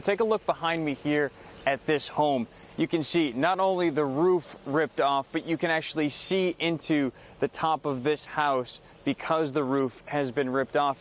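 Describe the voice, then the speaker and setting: A man reporting live outdoors as a TV field reporter, pointing out what is around him. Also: a little high. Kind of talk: live on-scene news report. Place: outdoors on a residential street.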